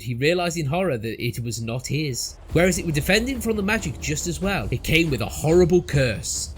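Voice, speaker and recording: A man's voice: medium pitch, a little hiss or hum. A man reading a scripted storybook tale as narrator, in a theatrical, mock-epic manner.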